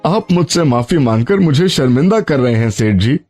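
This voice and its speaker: Man acting a humble, lively-pitched honest labourer. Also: normal speed, soft background music, close to an everyday voice. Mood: humble, grateful. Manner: earnest, deferential, emotive. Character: humble, honest poor labourer, a devoted father. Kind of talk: animated moral story acting.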